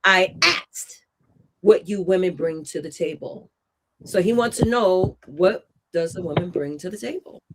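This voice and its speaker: A woman's voice: fairly low pitch, measured pace, fairly bright tone, low-quality recording. A woman casually moderating a live-stream panel as its host, steering the talk.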